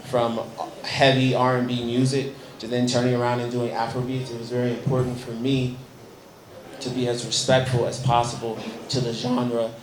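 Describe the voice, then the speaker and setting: A young American man from Chicago with a slightly hoarse voice, earnestly telling his story at a press event, with frequent ums. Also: mid-range voice, completely flat delivery, moderate pace, echoey room, background noise. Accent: Chicago American English. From Chicago, United States. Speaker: guest musician.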